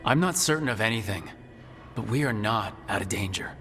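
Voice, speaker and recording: A man voicing a composed, resolute mage leader in a video game, speaking in a measured, serious way. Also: lowish voice, expressive, fairly bright tone. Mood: grave, insistent. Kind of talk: video game dialogue acting. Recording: long reverb, game music behind.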